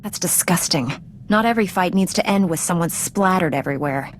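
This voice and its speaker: A woman's voice: fairly low pitch, brisk, slight background noise, close to an everyday voice. A woman playing a confident, principled young knight in a video game, composed and direct. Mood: dryly firm. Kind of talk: video game cutscene acting.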